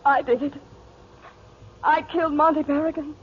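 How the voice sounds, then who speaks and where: A woman's voice: sits high, steady tone, moderate pace, natural voice. An actress playing an anguished businesswoman and mother in 1940s radio acting style. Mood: distraught. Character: anguished restaurant businesswoman and mother, grown woman under questioning. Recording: muffled, noisy 1940s radio broadcast.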